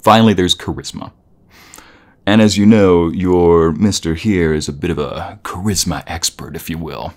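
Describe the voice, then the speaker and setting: Low-voiced, playful boyfriend, acted by a man. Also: moderate pace. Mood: cheerful, explaining. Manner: playful, teasing, chuckling, rambling. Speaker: audio roleplay narrator. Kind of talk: casual, intimate boyfriend roleplay.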